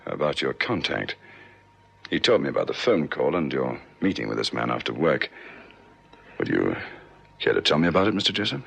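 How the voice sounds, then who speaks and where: A police sergeant from a radio play, calm and methodical. His voice is very deep and creaky, with a lively swing in pitch as he puts short, probing questions. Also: full character voice. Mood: probing, measured. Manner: measured, probing, clipped questioning. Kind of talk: 1970s radio drama acting.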